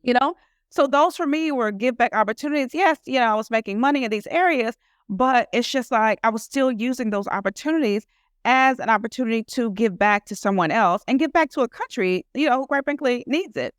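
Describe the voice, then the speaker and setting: Woman guest rambling earnestly, highish voice, lots of you-knows, dry close sound. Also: brisk, no room sound. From United States. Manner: earnest, rambling with many fillers. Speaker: guest entrepreneur. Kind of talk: casual interview talk.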